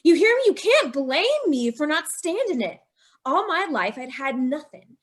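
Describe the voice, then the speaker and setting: An actress playing a young bride driven to murder, speaking with breathless anguish in an old-time radio drama. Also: female voice, high voice, big pitch swings, moderate pace. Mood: bitter.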